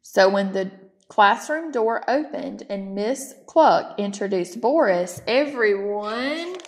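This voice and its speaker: Slow-paced woman reading a children's story. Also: mid-range voice, animated, a little hiss or hum. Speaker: storytime reader. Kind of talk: children's storybook read-aloud.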